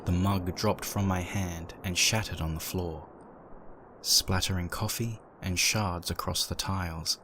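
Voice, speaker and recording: A man acting as the brooding, uneasy narrator of a dark horror audio drama, reading in a dramatic scripted style. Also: deep voice, fairly even intonation, unhurried. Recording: noisy, with background ambience effects.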